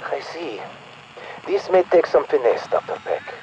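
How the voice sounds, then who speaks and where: An actor playing a sly, coaxing conspirator in a game's radio transmission, with an insinuating, deliberate manner. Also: male voice, on the high side, very animated, a little mellow. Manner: smooth-talking, insinuating, deliberate. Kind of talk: dramatic video game radio transmission acting. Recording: dull, slightly noisy radio transmission.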